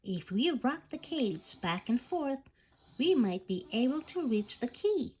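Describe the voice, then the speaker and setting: A woman's voice: fairly high pitch, sing-song, a little slow, a little mellow, slightly rough, full character voice. A woman voicing a brave, eager young princess knight girl in a storybook, earnest and exclaiming. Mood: excited.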